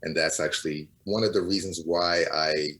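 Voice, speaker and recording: Low-voiced middle-aged man from Portugal. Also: steady tone, normal speed, fairly bright tone. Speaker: interview guest, DJ. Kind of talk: reflective interview answers.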